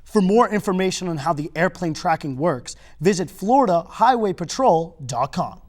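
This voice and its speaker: A man's voice: high voice, expressive, normal speed, a little mellow. A young man reading scripted news narration as a student reporter. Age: young adult.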